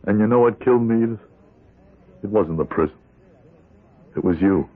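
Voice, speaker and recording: Older ex-convict male actor, pleading and worn down, deep husky voice, vintage radio drama on a thin, phone-like recording. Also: moderate pace, lightly acted. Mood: bitter, despairing. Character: weary gray-haired ex-convict, broken and pleading. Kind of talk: 1970s radio drama acting. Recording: tinny, band-limited old radio broadcast.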